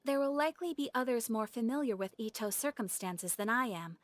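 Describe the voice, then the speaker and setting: Game official: female actor, slightly high voice, dry close sound, precise, dutiful, composed. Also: lively intonation, brisk, no echo, close to an everyday voice. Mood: composed, formal. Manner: formal, precise. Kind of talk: video game voice acting.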